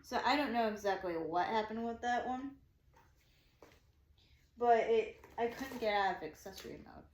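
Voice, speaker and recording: A woman's voice: on the high side, moderate pace, long pauses. A woman in her twenties telling a story casually, rambling and repeating herself as she quotes her own thoughts. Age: 20s. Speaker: vlogger. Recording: echoey room.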